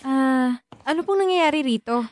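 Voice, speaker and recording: An actress playing a dutiful, hurt young working daughter in a Filipino radio drama, earnest in manner. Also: female voice, sits high, expressive, moderate pace, slight background noise. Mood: concerned. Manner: earnest, pleading.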